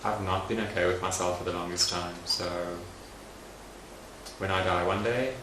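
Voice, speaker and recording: A young man speaking in a documentary interview, thoughtful and soft-spoken with frequent pauses and fillers. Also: sits low, fairly even intonation, background noise. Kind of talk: reflective personal interview. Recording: roomy echoey recording.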